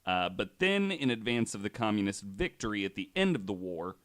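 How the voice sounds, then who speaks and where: A man hosting a podcast, telling stories casually and a bit haltingly, with the odd swear word. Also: fairly low pitch, big pitch swings, fairly bright tone, dry recording. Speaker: podcast host. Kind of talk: casual storytelling on a podcast, swearing now and then.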